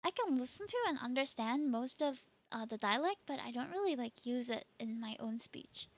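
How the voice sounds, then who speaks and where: A woman's voice: a little high, expressive, normal speed. A woman from Singapore, hosting a stream and chatting casually, laughing often. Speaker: streamer host. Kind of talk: casual chat.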